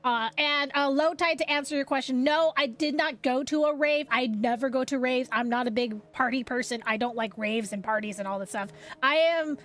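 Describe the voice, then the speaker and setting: A woman's voice: sits high, moderate pace, fairly bright tone. A young woman streaming as a VTuber, chatting casually with viewers in a rambling way, a little sniffly from a cold. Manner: rambling, many restarts and fillers, chatty. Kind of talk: casual livestream chat.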